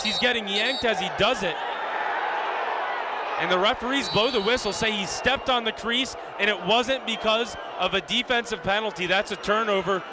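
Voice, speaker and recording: A young man with a high voice works as a radio play-by-play announcer. He calls the action live in short, vivid phrases, over clear background noise from the venue. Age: young adult. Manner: clipped, vivid present-tense calls. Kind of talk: live sports radio commentary. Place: live at a lacrosse game. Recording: noisy live stadium broadcast. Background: venue ambience and noise.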